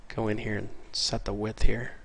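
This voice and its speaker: A man with a congested voice from a cold, casually talking through a programming tutorial and getting excited over small things. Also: on the low side, normal speed, gravelly, slight background noise. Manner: casual, easily excited, chatty. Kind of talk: screen-recorded coding tutorial. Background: faint keyboard typing.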